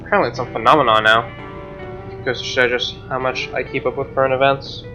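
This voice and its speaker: Bright-voiced male streamer reading a game aloud. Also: mid-range voice, little pitch movement, fairly quick. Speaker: let's play streamer. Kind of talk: reading game narration aloud with casual commentary. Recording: dull lo-fi mic, game music behind. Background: game music.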